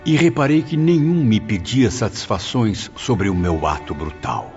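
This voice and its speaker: An actor plays a reflective man narrating his memoir. His low voice is solemn and measured, slightly dull in sound, over faint music. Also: animated, darkish tone. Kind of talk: scripted first-person audiobook narration.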